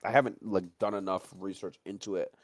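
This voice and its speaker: A man's voice: deep voice, fairly even intonation, fairly quick, dry recording, clean studio sound. A man chatting casually, blunt and sweary, often repeating himself as he makes his point. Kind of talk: casual chat.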